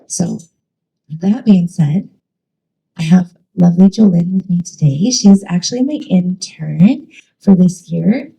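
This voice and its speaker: A woman's voice: on the low side, animated, unhurried, vocal fry, dark tone, breathy edge, rough recording. A woman leading youth ministry, giving a chatty, enthusiastic talk to a church with many fillers.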